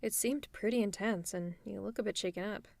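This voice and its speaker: A woman performing an audio roleplay, talking softly and teasingly to the listener as if to a friend. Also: mid-range voice, fairly quick, vocal fry, no echo. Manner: soft, caring, teasing, often near a whisper. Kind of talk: ASMR roleplay, speaking to the listener.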